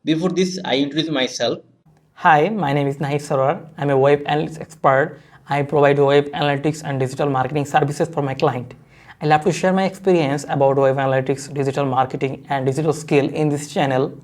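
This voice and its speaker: A young man giving a step-by-step software tutorial in halting, repetitive, simply phrased non-native English. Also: fairly high pitch, steady tone. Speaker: web analytics tutorial presenter.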